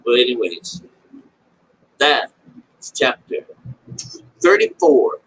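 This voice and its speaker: A man with a mid-pitched voice talks through scripture like a homespun teacher. He rambles in a halting, colloquial way, circling back on his phrases and speaking very informally. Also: wildly swooping pitch, vocal fry, fairly bright tone, slightly rough, rough recording. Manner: colloquial, folksy, mild swearing. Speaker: amateur Bible teacher. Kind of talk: informal spoken Bible commentary.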